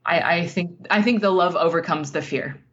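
Woman writer getting interviewed, kinda low voice, takes her time, thoughtful, bit muffled lo-fi sound. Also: normal speed. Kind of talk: reflective interview conversation.

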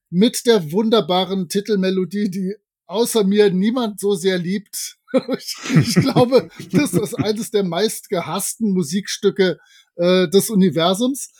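High-voiced man in casual podcast chat. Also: a little mellow, no room sound, clean studio sound. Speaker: podcast panelist.

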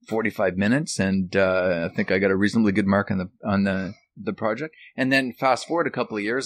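Guy w/ a lowish voice swapping stories, chatty, kinda pokes fun at himself, no room echo. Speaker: podcast guest and author. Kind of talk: casual reminiscing chat.

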